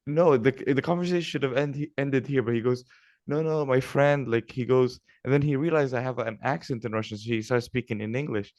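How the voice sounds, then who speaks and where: Quick wry man, Arabic native. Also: mid-range voice, fairly even intonation, a little mellow, muffled recording. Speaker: podcast co-host. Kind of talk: casual chat.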